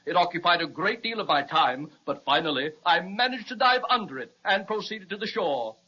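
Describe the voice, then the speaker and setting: A high, bright-voiced dragon fit for a children's radio serial. He is pompous and melodramatic, savoring long words as he boasts and laments. The audio is somewhat dull and lo-fi. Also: animated, fairly quick, slightly rough, heavily acted. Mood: boastful.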